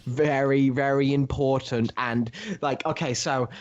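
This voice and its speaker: Male podcast co-host, mid-range voice, halting opinionated fan talk, casual delivery, dull recording. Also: mid-range voice, fairly even intonation. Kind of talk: casual comic book discussion.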